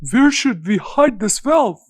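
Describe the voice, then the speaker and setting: A woman plays a crafty village farmer from an animated fairy tale. He speaks slowly and deliberately, with dramatic swings in pitch and a nervous, scheming temper. The sound is close and dry. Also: slightly rough, no echo, exaggerated voice. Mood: sly, assured.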